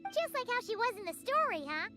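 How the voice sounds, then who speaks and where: Squeaky, tiny, excitable fairy companion. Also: female voice, brisk. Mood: curious. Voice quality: squeaky, childlike. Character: small excitable floating fairy companion, childlike and cheeky. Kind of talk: video game character acting. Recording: loud music behind the voice.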